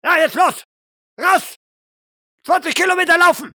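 A brutal drill sergeant barks in a strained high, bright voice. He is contemptuous and foul-mouthed, hurling commands at recruits in a role-play audio drama, recorded close and dry. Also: normal speed, no echo, heavily acted. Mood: scornful, threatening. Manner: barking, insulting, commanding.

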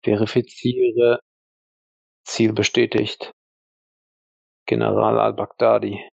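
Man playing a terse, low-voiced army sniper. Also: little pitch movement, slow, gravelly, a little mellow, no room sound, muffled recording, full character voice. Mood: controlled, focused. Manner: terse, formal military replies. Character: disciplined male army sniper, terse and obedient. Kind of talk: role-play audio drama.

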